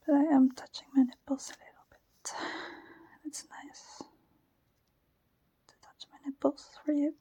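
A young woman with a Dutch accent whispering softly and shyly into a close microphone, giggling often and hesitating with many fillers. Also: sits high, little pitch movement, a little slow, long pauses, dry recording. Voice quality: soft whisper. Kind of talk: whispered personal ramble, ASMR-like.

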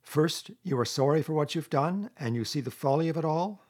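A man acts out a stern badger from a storybook. He speaks like a fatherly orator, solemn and firm. The voice is rough and husky, raised a little as if addressing a room. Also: on the high side, animated, moderate pace, darkish tone, pitched above the performer's own voice. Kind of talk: storybook character reading.

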